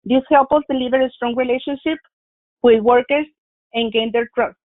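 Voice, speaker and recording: A woman's voice: a little high, fairly even intonation, moderate pace, no echo. A woman presenter reading an instructive webinar talk from a script. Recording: tinny phone-line audio, dry.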